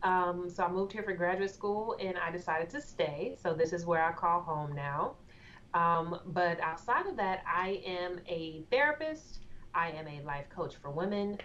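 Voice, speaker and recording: Woman from austin, therapist guest, low-pitched, calm explaining, dull muffled audio. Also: unhurried. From Austin, Texas. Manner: articulate, composed, explanatory. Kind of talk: interview answers. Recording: dull lo-fi sound with faint noise.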